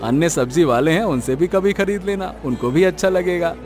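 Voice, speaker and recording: A man's voice: fairly high pitch, moderate pace, soft background music, lightly acted. A man voicing a humble, kind-hearted village vegetable seller in a cartoon story, speaking earnestly. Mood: humble, kindly. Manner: earnest, expressive. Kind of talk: scripted cartoon story acting.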